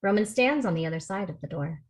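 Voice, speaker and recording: A woman's voice: mid-range voice, animated, fast. A woman reading out stage directions as scripted narration at a table read over a video call.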